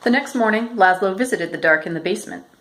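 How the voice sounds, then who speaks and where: A woman reading a children's picture book aloud as a storyteller, in a measured, expressive way. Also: mid-range voice, slight background noise. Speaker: storyteller, educator.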